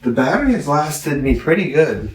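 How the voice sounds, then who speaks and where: A man giving a casual, rambling product review, halting and correcting himself as he thinks aloud. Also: a little high, big pitch swings, darkish tone. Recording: roomy, echoing, distant from the mic.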